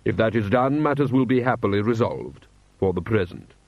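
A man voicing a suave foreign prince and diplomat with formal, measured politeness. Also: on the low side, lively intonation, no room sound, lo-fi sound. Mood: grave, courteous.

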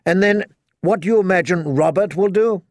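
A man voicing a fierce, anxious highborn woman who berates urgently, in an audiobook. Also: normal speed, a little mellow, no echo, studio quality. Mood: angry, urgent.

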